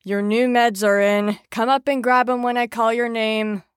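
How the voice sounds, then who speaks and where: A woman's voice: fairly even intonation, normal speed, smooth, no echo, clean studio sound, close to an everyday voice. A woman playing a peppy but frazzled, sarcastic supervisor of patients in an audio drama. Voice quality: high-pitched. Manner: perky, folksy, exasperated when challenged.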